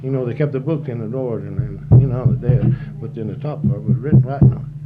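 An elderly man of seventy recalls old times in a loose, folksy ramble, circling back and repeating words. His voice comes through muffled, lo-fi tape with a clear hiss behind it. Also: mid-range voice, fast talker. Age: 70s. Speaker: interviewee. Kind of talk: oral history reminiscence.

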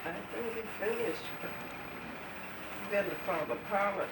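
An older woman answers questions in short, halting pieces, and she is a little hard of hearing. Her voice comes from some distance in an echoey room. A heavy hiss runs under the whole recording. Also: mid-range voice. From Bahamas. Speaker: interviewee. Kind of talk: casual recollection. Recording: roomy distant old field tape with loud hiss.

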